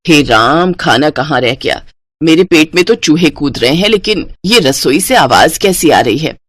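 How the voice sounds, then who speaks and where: Woman putting on a grumbling older mother-in-law, low-pitched. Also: animated, normal speed, breathy edge, no echo. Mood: hungry grumbling. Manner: grumbling, theatrical lamenting. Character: weary, exasperated older mother-in-law, dramatic complainer.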